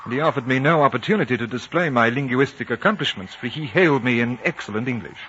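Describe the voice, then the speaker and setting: A man's voice: medium pitch, fast talker, lightly acted. A man playing a composed, courteous British consul who leads and narrates a 1950s radio drama in articulate speech. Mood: grave, narrating. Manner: calm, articulate, reasoning. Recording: muffled noisy 1950 radio recording.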